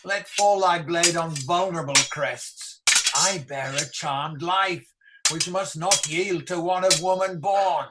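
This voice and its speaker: A man's voice: on the high side, slow, heavy background noise. An amateur actor playing a doomed, defiant warrior king in a radio play, declaiming weighty Shakespearean verse. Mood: proud. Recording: home recording over video call.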